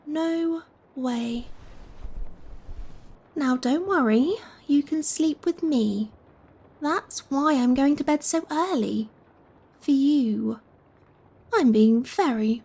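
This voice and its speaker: A woman playing a gentle, possessive giant vampire in an ASMR roleplay, coaxing and softly commanding the listener. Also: sits high, full character voice. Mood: teasing, possessive. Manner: slow, coaxing, softly commanding, breathy sighs and chuckles.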